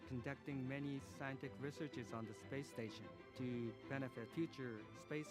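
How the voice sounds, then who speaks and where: A man's voice: mid-range voice, steady tone, normal speed. A man from Japan, a native Japanese speaker, talking earnestly and carefully about his path. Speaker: astronaut. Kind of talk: recorded personal profile. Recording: music bed under voice.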